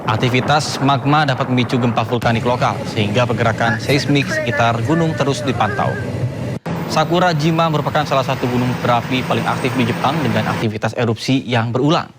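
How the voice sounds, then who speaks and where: A man reading scripted news as an anchor in a formal, measured announcer delivery. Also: mid-range voice, steady tone, very noisy.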